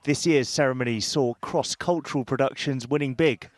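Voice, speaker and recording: A male reporter reading a scripted entertainment news package in crisp, punchy phrases. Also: medium pitch, normal speed.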